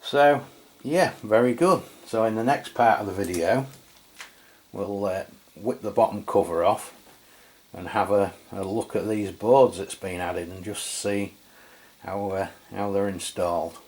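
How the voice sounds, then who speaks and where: A man's voice: lowish voice, unhurried, darkish tone. A man with an English accent giving a casual hands-on product review in an informal, explanatory way. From England. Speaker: radio reviewer and channel host.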